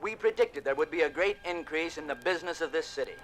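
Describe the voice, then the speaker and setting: An actor in a 1950s radio drama playing a proud frontier newspaper editor in a declamatory manner. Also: male voice, fairly high pitch, animated, quick pace, fairly bright tone, faint music behind. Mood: proud, emphatic. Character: proud 1850s newspaper editor proclaiming predictions.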